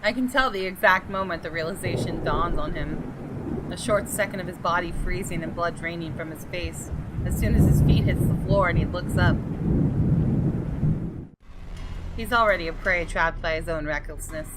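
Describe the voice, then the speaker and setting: A woman's voice: lowish voice, brisk, very noisy, natural voice. A woman reading visual novel narration dramatically, voicing the thoughts of an arrogant, menacing gun owner. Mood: smug, scornful. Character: haughty, menacing gunman homeowner narrating his thoughts. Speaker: gaming video host. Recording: clear music behind the voice.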